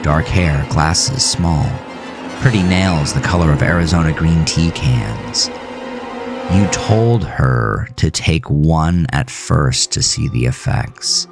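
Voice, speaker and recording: A man with a low voice reads dramatic horror narration. His storytelling is slow, deliberate and suspenseful, with music clearly running underneath. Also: lively intonation.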